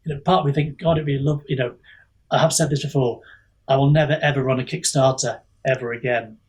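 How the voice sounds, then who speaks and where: A man's voice: mid-range voice, fairly even intonation, fast talker, darkish tone, husky. A man chatting casually on a podcast, picking his words in a thoughtful, hesitant way. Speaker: indie author on a podcast.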